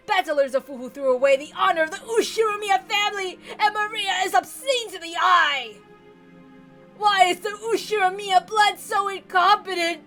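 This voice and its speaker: A woman's voice: very high-pitched, fairly bright tone, voice pushed up. A woman voicing a deranged, tyrannical old patriarch with theatrical, obsessive rants, reading from a visual novel. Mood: contemptuous raging. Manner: theatrical howling rants, drawn-out pleading.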